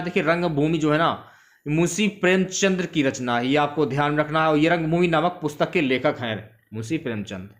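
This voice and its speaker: A man's voice: a little high, fairly bright tone. A man giving an informal exam-prep lecture, explaining questions and answers and repeating key phrases for students.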